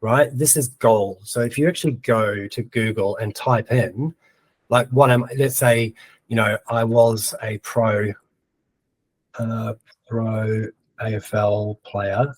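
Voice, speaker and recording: A man's voice: fairly low pitch, fairly even intonation, takes it slowly, dry recording, low-quality recording. Chatty New Zealand man explaining. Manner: chatty, thinking aloud while typing, many ums. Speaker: presenter of a webinar. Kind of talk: casual screen-share tutorial.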